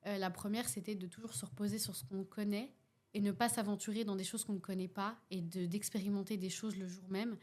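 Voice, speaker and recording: A young woman with a Belgian French accent talks through her ideas in a relaxed podcast chat. She pauses often, fills gaps with hesitation sounds and restarts her sentences, in a voice of ordinary middle pitch. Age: young adult. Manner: hesitant, many fillers and restarts. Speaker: podcast participant, youth workshop facilitator. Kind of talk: casual podcast discussion.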